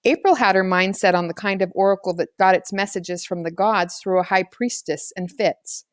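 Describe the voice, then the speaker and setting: A woman's voice: mid-range voice, moderate pace, no room sound. Woman reading a children's novel, dry sound. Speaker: audiobook reader. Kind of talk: scripted narration of a children's novel, read aloud.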